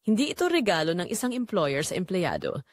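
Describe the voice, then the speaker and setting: A woman's voice: lowish voice, wildly swooping pitch, fairly quick, no echo, clean studio sound. A woman with a Filipino accent giving emotive, confessional first-person narration for a scripted audio drama.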